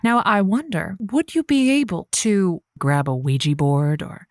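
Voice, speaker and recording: A chatty female advice host played by a woman on a comedic call-in radio show. She is sympathetic and morbidly curious, talking in gently theatrical, flowery phrases. The sound is dry, with no room echo. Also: medium pitch, big pitch swings, measured pace. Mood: curious, encouraging.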